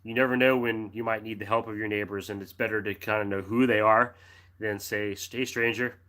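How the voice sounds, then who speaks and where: Middle-aged American man, low voice with a bright edge, hosts a live chat, dry throat with a cough drop, rambles and clears his throat. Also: fairly even intonation, brisk. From United States. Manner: friendly, rambling, frequent restarts and throat clearing. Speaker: livestream host reading chat.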